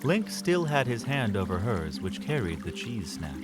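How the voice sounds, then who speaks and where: A male narrator with a fairly low voice and lively, swinging intonation. He delivers scripted fantasy storytelling in a dramatic style, with music clearly playing beneath him. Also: normal speed. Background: music under the voice.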